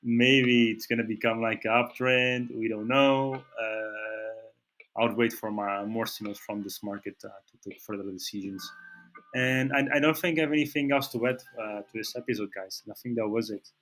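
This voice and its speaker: Casting note: Portuguese-accented male market analyst, lowish voice, halting delivery full of "uh"s. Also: steady tone, a bit bright. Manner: hesitant, many fillers and restarts. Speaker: trading channel host. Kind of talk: screen-share market analysis.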